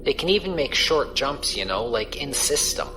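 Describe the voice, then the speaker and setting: A man's voice: medium pitch, big pitch swings, moderate pace, music in the background, close to an everyday voice, rough recording. Man acting as a casual, wry space pirate crewman. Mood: casual, explanatory. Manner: colloquial, explanatory. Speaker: voice actor. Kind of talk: science fiction audio drama dialogue.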